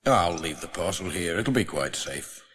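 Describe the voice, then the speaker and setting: A man's voice: low-pitched, expressive, normal speed, fairly bright tone, husky, pitched below the performer's own voice, heavily acted. A man voicing a cheerful, kindly village postman, chatty and reassuring, in a children's storybook. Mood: puzzled. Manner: chatty, reassuring, often talking to himself. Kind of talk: dramatised children's storybook reading. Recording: faint noise, old audiobook transfer.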